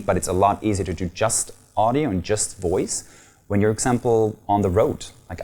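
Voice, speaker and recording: Man, thirties, German accent, low voice, earnest, hesitant fillers, interview guest. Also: a little mellow. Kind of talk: casual interview talk.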